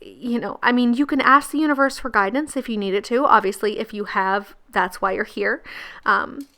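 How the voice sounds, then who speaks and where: A woman with a croaky, gravelly voice giving a casual pick-a-card tarot reading, chatty and laughing often. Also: on the high side, moderate pace, bright, forceful tone. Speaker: tarot reader and channel host.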